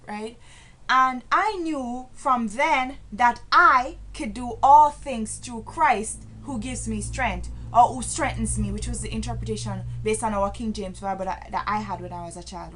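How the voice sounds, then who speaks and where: A Jamaican woman with a somewhat high voice speaks to camera in an earnest, unscripted way. She stumbles through fillers and restarts, then presses her points with firm, sermon-like emphasis. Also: normal speed, fairly bright tone, poor audio. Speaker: vlogger. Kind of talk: casual talk to camera.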